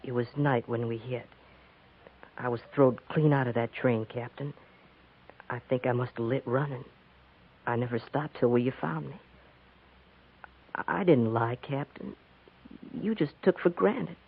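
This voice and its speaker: A young male actor playing a polite, earnest thirteen-year-old American runaway farm boy in a 1950s radio western, with halting, folksy speech. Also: mid-range voice, vocal fry, breathy edge. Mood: anxious confession. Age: child or teenager. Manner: folksy rustic grammar, respectful, halting. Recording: muffled, narrow-band 1950s radio recording.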